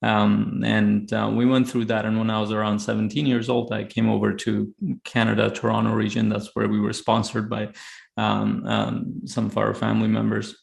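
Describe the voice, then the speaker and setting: A man from Afghanistan telling a personal story reflectively as a guest, speaking haltingly with many filled pauses. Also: on the low side, completely flat delivery, gravelly. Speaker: podcast guest, high-performance coach. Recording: roomy, echoey sound.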